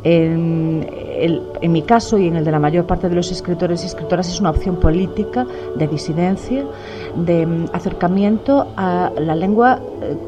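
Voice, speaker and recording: Writer, middle-aged woman, low voice, calm and reflective, music playing softly underneath. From Galicia. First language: Spanish. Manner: measured, reflective, many "eh" pauses. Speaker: novelist, interviewee. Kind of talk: thoughtful interview answers.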